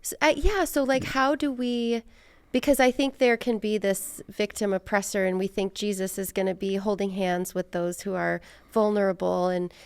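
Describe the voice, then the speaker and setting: A woman's voice: mid-range voice, little pitch movement, normal speed, gravelly, darkish tone, clear, resonant tone, dry recording. A woman hosting a casual, reflective interview, earnestly thinking aloud and searching for her words. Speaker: podcast host, interviewer.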